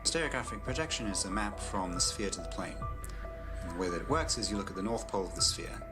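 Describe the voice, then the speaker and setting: A man calmly explaining a geometric idea in a measured way. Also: low-pitched, over loud music. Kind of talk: educational explanation clip.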